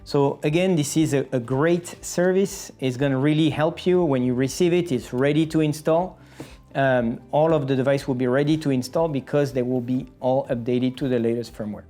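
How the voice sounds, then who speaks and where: Product explainer presenter, medium pitch, methodical step-by-step delivery, music underneath. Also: moderate pace, warm tone. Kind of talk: scripted-sounding product explainer.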